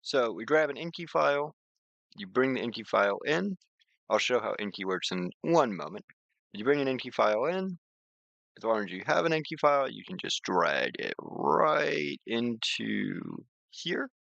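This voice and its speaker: A man presenting an informal software tutorial, rambling a little, repeating phrases and thinking aloud. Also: mid-range voice, big pitch swings, moderate pace, gravelly, no room sound, dull audio. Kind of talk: informal screen-share software demonstration.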